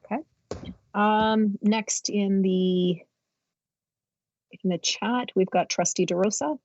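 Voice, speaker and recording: A woman's voice: mid-range voice, slow, darkish tone, dull audio, slight background noise. A woman chairing a board meeting over a video call, calling on speakers in a polite, procedural way.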